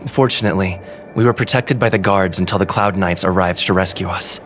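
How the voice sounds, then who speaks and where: A calm, reserved young man. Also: low voice, brisk, slight background noise. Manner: calm, matter-of-fact. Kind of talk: game voice acting.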